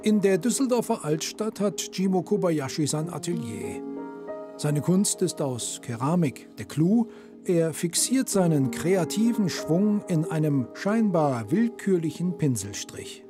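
A man reading a scripted TV report narration in a smooth, measured way. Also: a little high, sing-song. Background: soft music.

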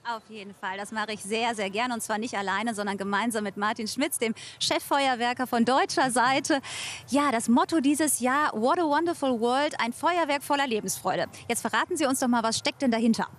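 Higher-pitched woman reporting live. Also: normal speed. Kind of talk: live interview. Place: outdoors on a festival meadow.

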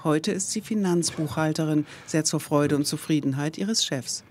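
A woman's voice: deep voice, moderate pace, a little mellow. A woman reading scripted narration for a news report. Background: music.

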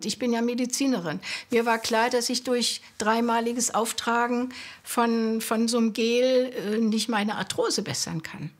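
A woman in her eighties giving a personal account in an interview, in a deliberate, hesitant way. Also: on the high side. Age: 80s. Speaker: interviewee, physician.

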